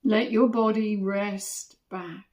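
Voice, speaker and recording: A woman meditation teacher leading a guided body scan in a gentle, soothing manner, with long pauses between short phrases. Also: mid-range voice, steady tone, highs cut off.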